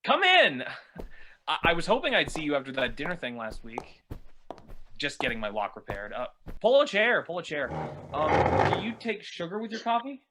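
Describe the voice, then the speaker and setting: A man playing an awkward, kindly friend in a fan-made drama, nervous, gentle and stammering, on a noisy recording. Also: on the high side, huge pitch swings, moderate pace. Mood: nervously welcoming. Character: awkward, kindly male friend, eager to help. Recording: noisy, clicky remote-call recording.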